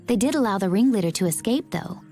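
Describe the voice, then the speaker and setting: A woman playing a composed, observant female companion in a video game, with measured, formal wording. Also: mid-range voice, lively intonation, dark tone, faint music behind, lightly acted. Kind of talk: video game cutscene voice acting.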